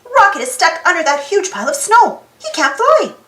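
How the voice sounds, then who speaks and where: A woman's voice: very high-pitched, sing-song, normal speed, pushed and bright, echoey room, voice pushed up, full character voice. A woman voicing an eager, earnest and emotional little boy in a children's storybook. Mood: distressed. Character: plucky, emotional little boy Quincy, eager team leader.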